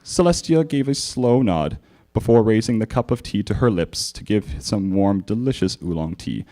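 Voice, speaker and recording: A man's voice: on the low side, animated, moderate pace, dark tone. Amused man reading fanfiction aloud. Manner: amused, stumbling over the text. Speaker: fanfiction reader, panel host. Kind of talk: live comedic dramatic reading. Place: convention panel room.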